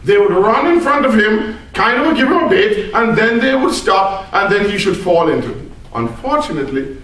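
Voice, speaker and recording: A woman's voice: medium pitch, big pitch swings, moderate pace, fairly bright tone. Woman doing a comic tall tale on stage, super swoopy dramatic delivery, mic far away. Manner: theatrical, dramatic emphasis, mock-earnest. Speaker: storyteller at a speech club. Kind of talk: live comic tall-tale storytelling to an audience. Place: echoey indoor room, speaking to an audience. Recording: very echoey room, distant microphone.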